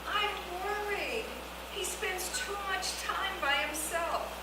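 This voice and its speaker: An actress playing a worried, doting grandmother in her eighties at a comedy script reading, slow and fretful. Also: very high-pitched, expressive, exaggerated voice. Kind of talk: cold table read of a comedy screenplay. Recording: echoey noisy hall, distant mic.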